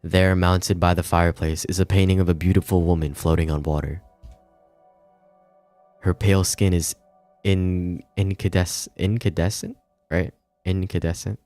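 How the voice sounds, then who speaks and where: A man's voice: low voice, little pitch movement, normal speed, a little mellow, no room sound, faint music behind. A man streaming a game, reading its narration aloud with informal asides, some swearing and stumbles over long words.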